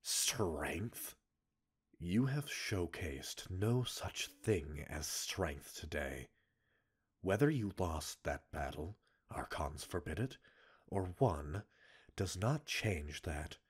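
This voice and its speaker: Man acting as a deep, husky, stern commander. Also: animated, heavily acted. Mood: cold disapproval. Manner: formal, measured, clipped reprimand. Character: stern male military commander, cold, scolding a reckless subordinate. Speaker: voice actor in a roleplay audio. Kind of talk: scripted ASMR roleplay monologue.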